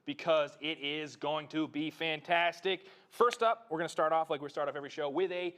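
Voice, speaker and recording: A man's voice: fairly high pitch, brisk, a bit bright. A man co-hosting a show, upbeat and joking, often laughing as he talks. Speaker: co-host.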